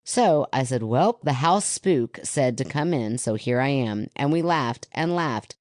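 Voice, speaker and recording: Very deep-voiced woman podcast host. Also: animated, a little slow, a little mellow, no echo, clean studio sound. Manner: teasing, skeptical, swears casually. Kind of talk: casual banter and reading listener letters.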